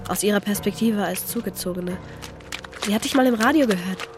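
A woman's voice: medium pitch, a little fast, music in the background, lightly acted. An actress playing a shy, subdued schoolgirl daughter in a German radio drama. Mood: wistful, tentative.

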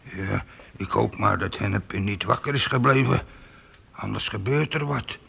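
A man's voice: lowish voice, moderate pace, gravelly, pushed and bright, slightly rough, heavily acted. An actor playing a timid, anxious medieval gatekeeper in a radio play, hesitant and repeating his words. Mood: worried.

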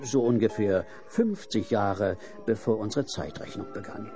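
A man's voice: on the low side, a little slow, mellow, faint music behind. A man narrating a radio play in a wry storytelling manner.

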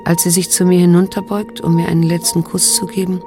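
An actress playing a wounded, restrained middle-aged daughter who narrates in a radio drama, measured and introspective. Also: female voice, on the low side, level tone, over music, close to an everyday voice. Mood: sober recollection.

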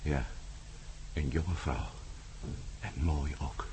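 An actor playing a bold, stubborn adventurer in a radio play, with an urgent, clipped way of talking. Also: male voice, rumbling low, steady tone, extremely slow, warm tone, exaggerated voice. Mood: grim, fascinated. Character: bold, stubborn male adventurer prying into gruesome secrets. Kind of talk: Dutch radio play acting. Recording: noisy old radio drama recording.

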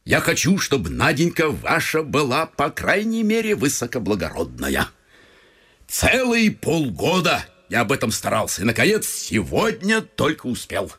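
A man's voice: mid-range voice, huge pitch swings, moderate pace, a bit bright, slightly rough, heavily acted. A man playing a talkative, touchy old retired officer turned provincial clerk in a Russian radio vaudeville, theatrical and effusive, with folksy old-fashioned turns of speech. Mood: proud, excited. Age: older. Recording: stage radio play with faint music.

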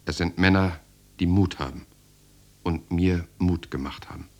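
An actor playing a German writer who recounts his years in the resistance in a measured, reflective way. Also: low-pitched, darkish tone. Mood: sober, reflective. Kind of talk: first-person radio drama narration.

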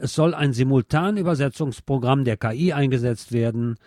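A man's voice: mid-range voice, a little fast, darkish tone, no echo, clean studio sound. A man hosting a radio programme, reading news and commentary with wry, opinionated asides. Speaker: radio host.

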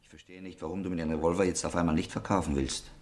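A man's voice: sits low, steady tone, rapid-fire, background noise. An actor playing an impatient, argumentative buyer in a German radio drama, insistent in his way of talking. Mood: impatient, irritated. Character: impatient, suspect man pressing to buy a revolver.

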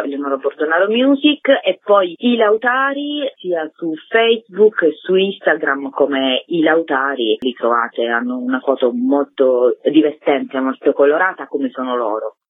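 A woman, a musician talking casually as an interview guest. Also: low-pitched. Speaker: singer and musician being interviewed. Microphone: telephone. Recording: tinny phone line with echo.

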